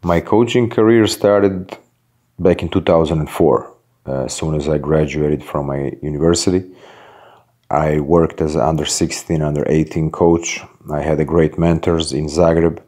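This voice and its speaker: A man, a basketball head coach giving a measured, formal introductory address to fans. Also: a bass voice, fairly even intonation, mellow. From Croatia. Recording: roomy echo.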